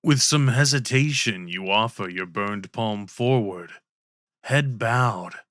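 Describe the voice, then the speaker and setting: A man narrating a scripted ASMR roleplay story with measured, deliberate delivery. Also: lowish voice, fairly bright tone, no room sound, clean studio sound.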